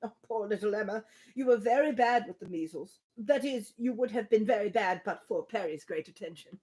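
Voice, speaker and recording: A woman's voice: medium pitch, brisk, dark tone, lightly acted. Woman voicing a fussy, doting old father. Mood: fretful, doting. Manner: anxious, doting, rambling. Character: fretful, affectionate elderly father who dreads illness.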